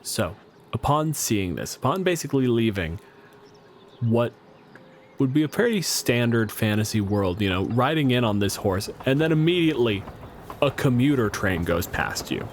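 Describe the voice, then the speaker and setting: A man's voice: mid-range voice, expressive, normal speed, a little hiss or hum. A man improvising a tabletop game story as host, casual and joking, with profanity. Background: music.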